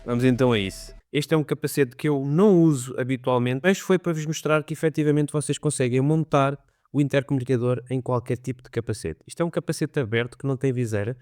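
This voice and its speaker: A man's voice: mid-range voice, fast talker, dry recording, clean studio sound. A man with a European Portuguese accent, chatting casually to his viewers as he reviews gear and rides a motorcycle. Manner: chatty, addresses viewers directly. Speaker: motovlogger. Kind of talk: casual product review vlog. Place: riding a motorcycle outdoors on the road. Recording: loud wind and road noise.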